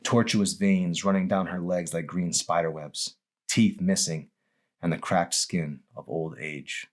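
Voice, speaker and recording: Deep-voiced man narrating a novel dramatically. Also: fairly even intonation, a little slow. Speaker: author reading own novel.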